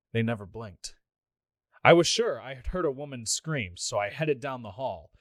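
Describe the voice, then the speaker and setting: A man's voice: medium pitch, fairly bright tone, dry recording, natural voice. A man playing an exasperated middle-aged police officer reading out an official report in a radio play. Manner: official, dry, flustered asides. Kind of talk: scripted radio play monologue, formal report.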